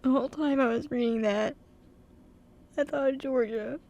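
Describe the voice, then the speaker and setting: Young woman, high voice, radio co-host, gushing and dramatic in casual studio chat. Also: normal speed, a little mellow. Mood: emotional. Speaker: radio show co-host and script reader.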